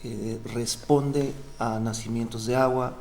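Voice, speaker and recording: A man's voice: mid-range voice, steady tone, slow, vocal fry, darkish tone, slight background noise. Man defending his thesis. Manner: earnest, explaining with frequent fillers. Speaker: doctoral candidate.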